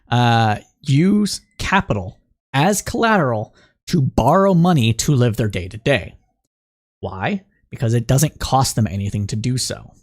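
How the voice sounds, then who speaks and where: Slightly raspy man hosting political talk. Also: medium pitch. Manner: thinking aloud, many fillers and restarts, reads news excerpts aloud. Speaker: podcast host. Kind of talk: political news commentary.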